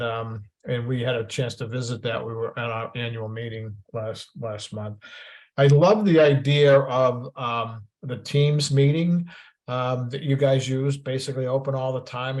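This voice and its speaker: A man's voice: mid-range voice, darkish tone. A man from the Boston area with a Boston accent, hosting a webinar over a video call in a rambling, jokey way with many restarts. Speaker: webinar host. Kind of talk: casual moderated webinar discussion.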